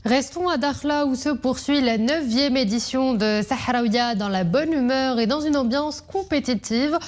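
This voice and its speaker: A woman news anchor reading scripted headlines in a clear, measured delivery. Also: a little high.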